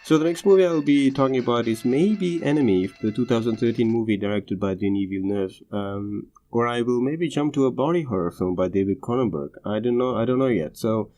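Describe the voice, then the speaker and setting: A man's voice: medium pitch, brisk, few pauses, dark tone, soft background music. A man whose first language is Tamil hosts a film podcast, talking unscripted with many fillers and restarts. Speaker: film analysis podcast host. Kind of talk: casual unscripted movie commentary. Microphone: home recording over drawings.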